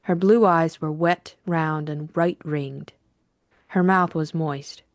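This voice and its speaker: A woman reading literary fiction as an audiobook narrator, measured and deliberate in her storytelling. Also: deep voice, steady tone, no room sound, clean studio sound.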